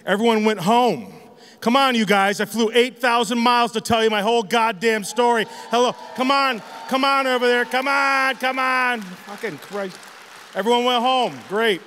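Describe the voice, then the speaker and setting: A man in his forties from northern Michigan giving a loud, profane, joking conference talk on stage, teasing the audience as he goes. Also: very high-pitched, moderate pace, a little hiss or hum. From northern Michigan, United States. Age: 40s. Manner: brash, joking, swears freely, chides the crowd. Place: large conference hall stage. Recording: long hall reverb.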